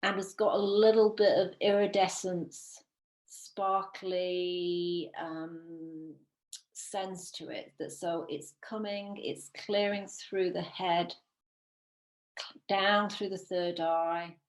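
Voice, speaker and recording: A woman leading a guided visualisation as a meditation teacher, soothing and unhurried, with long pauses between phrases. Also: on the low side, steady tone. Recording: roomy, echoing sound.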